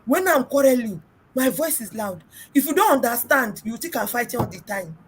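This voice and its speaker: A middle-aged woman with a Nigerian accent and a big, loud voice, hosting a casual relationship talk and telling stories emphatically. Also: on the high side, animated, normal speed, a little hiss or hum. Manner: emphatic, storytelling, mixes in pidgin. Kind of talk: casual group discussion.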